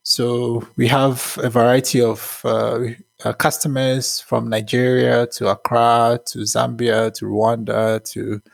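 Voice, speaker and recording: A man from Ghana, around his thirties, explains his work as a tech founder. He talks in a measured, thoughtful way, often pausing on small fillers. His voice has a gravelly edge and sits close and dry on the mic. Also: medium pitch, flat delivery, dry recording. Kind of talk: podcast interview answers.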